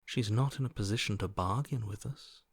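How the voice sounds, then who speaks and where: Cold, detached inner voice, bone dry. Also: male voice, on the low side, normal speed, husky, no room sound. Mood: coolly pragmatic. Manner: dry, blunt, dismissive. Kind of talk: video game voice acting.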